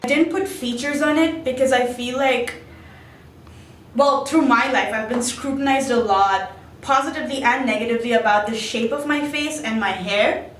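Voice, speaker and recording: Roomy recording, higher-voiced young woman. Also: moderate pace. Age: young adult. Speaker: student interviewee. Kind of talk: reflective interview answers. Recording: roomy, distant mic, faint noise.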